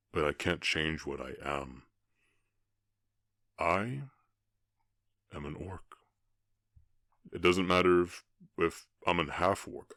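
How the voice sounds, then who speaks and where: A man acting a very deep, halting orc cowboy. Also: a bit bright, no room sound, clean studio sound, full character voice. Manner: halting, emotional, often dropping to breathy murmurs. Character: gruff lovesick half-orc cowboy, ashamed, protective, tender. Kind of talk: ASMR roleplay voice acting.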